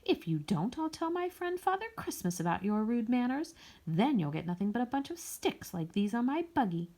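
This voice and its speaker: A woman voices a proud old male tramp from a storybook. He is grumpy and haughty, with formal turns of phrase. The voice is soft-edged and mellow, with some creak in it. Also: fairly high pitch, big pitch swings, moderate pace, voice pushed up. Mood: scolding.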